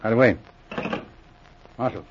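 An actor playing a brisk, gruffly good-humored veteran police officer in a 1940s radio drama. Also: male voice, slight background noise, lightly acted. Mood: brisk, businesslike. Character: brisk, dry, loyal veteran police sergeant and inspector. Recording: muffled old radio recording.

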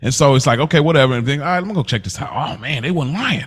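A man's voice: mid-range voice, expressive, very fast, husky. An American man co-hosting a casual podcast, talking in an emphatic, encouraging way and often repeating himself for effect. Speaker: podcast co-host. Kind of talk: casual chat. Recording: music playing behind the talk.

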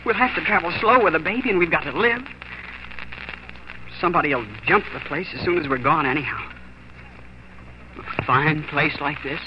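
An actor playing a desperate, defeated young homesteader husband in a 1940s radio drama, agitated and halting. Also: male voice, a little high, lightly acted. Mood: anguished. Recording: noisy, dull 1940s radio recording.

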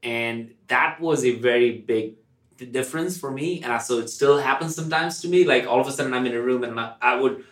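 A man's voice: mid-range voice, a little fast, fairly bright tone. A man from India with an Indian accent co-hosting a podcast, speaking in a casual, reflective way and often posing questions to himself. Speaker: podcast co-host. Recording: roomy, echoing podcast recording.

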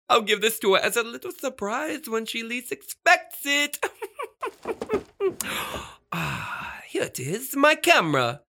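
An actor playing a flamboyant, fussy, excitable radio star with emphatic, theatrical delivery in a children's audio drama. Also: medium pitch, slow pace, exaggerated voice. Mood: breezy, delighted.

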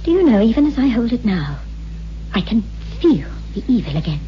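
A woman's voice: on the high side, very animated, fairly quick, slight background noise. A woman playing an anguished, haunted widow in a theatrical, intense style of 1960s radio horror acting. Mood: uneasy, fascinated. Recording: muffled old radio recording with music.

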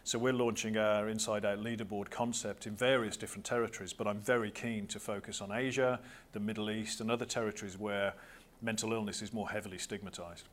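A man speaks as a guest in a podcast interview, with a voice a little on the low side. He talks fluently and persuasively, in the steady tone of someone who makes the case for a cause. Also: fairly quick. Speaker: guest, founder of a social enterprise.

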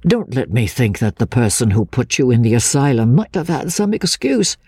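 Woman playing an earnest, husky, soothing gentleman. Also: a bass voice, big pitch swings, dry recording, studio quality, heavily acted. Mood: coaxing, firm. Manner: measured, coaxing, reassuring. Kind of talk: dramatic audiobook character reading.

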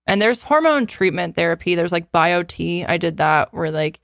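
A woman of twenty-seven chatting casually and candidly as a podcast co-host, informal and quick to swear. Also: on the low side, expressive, vocal fry, fairly bright tone, no room sound, studio quality. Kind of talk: casual chat answering listener questions.

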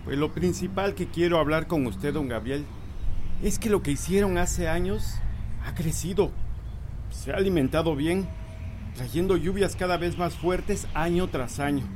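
An actor playing a calm, inquiring village priest in a radio drama, speaking in a measured, gentle way. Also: male voice, a little high, slight background noise, lightly acted.

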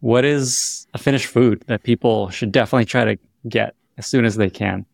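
A man's voice: medium pitch, moderate pace, gravelly, dry recording, clean studio sound. A man hosting an interview podcast, upbeat and enthusiastic as he asks questions and wraps up. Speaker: podcast host.

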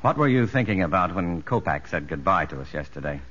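Deep, lively prison doctor, old radio. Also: male voice, a little fast, slight background noise. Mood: probing, commanding. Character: earnest male prison psychologist, narrating and talking with convicts. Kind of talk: 1950s radio drama acting with narration. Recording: dull vintage radio recording, music underneath.

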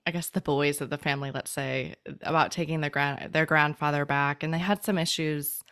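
A woman's voice: sits low, steady tone, a little fast, a bit bright, clear, resonant tone, dry recording, studio quality. A woman hosting her podcast in a chatty, easygoing way, laughing easily. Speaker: podcast host. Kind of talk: casual interview chat.

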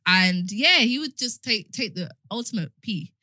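A woman's voice: mid-range voice, lively intonation, normal speed, sharp tone, no echo, studio quality. A British woman in her twenties co-hosting a podcast, talking candidly and emphatically in a slangy, advice-giving way. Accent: British English. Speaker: podcast co-host. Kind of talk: candid casual chat. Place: podcast studio.

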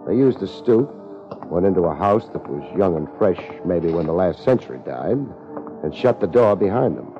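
A laid back tough american detective, real low voice, dry wry narration, 50s radio show, dull old recording. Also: male voice, moderate pace. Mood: cool, deadpan. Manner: wry, deadpan, clipped. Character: laid-back, self-deprecating, tough male private investigator. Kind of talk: 1950s radio detective drama acting and narration. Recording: muffled old radio recording with loud music.

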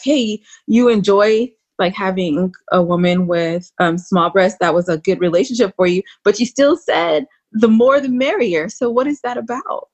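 A woman hosting a casual podcast interview, putting blunt, probing questions to her guest. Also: medium pitch. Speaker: podcast host.

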